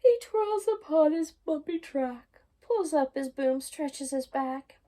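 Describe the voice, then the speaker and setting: Slow, mellow woman reading a bedtime story. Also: high voice, expressive, slight background noise. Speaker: children's storytime reader. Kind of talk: read-aloud children's bedtime picture book narration.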